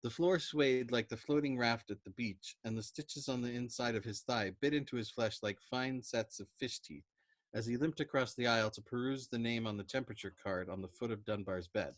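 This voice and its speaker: Male storytime reader, expressive novel narration, mid-range pitch, dry recording. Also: brisk, no echo.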